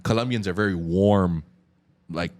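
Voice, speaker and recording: A man's voice: low voice, measured pace, darkish tone. A man in his thirties from Miami with a Cuban-tinged Miami accent, hosting a casual podcast chat in a loose, slangy way. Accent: Miami Cuban-influenced English. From Miami, USA. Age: 30s. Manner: loose, slangy, lots of fillers.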